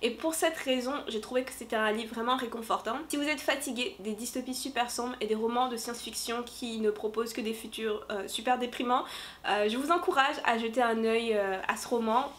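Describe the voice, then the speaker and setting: Chatty woman with a higher voice. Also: fairly even intonation. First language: French. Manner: chatty and upbeat, many filler pauses. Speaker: book vlogger and fantasy author. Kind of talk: casual book review to camera. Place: room decorated for Halloween.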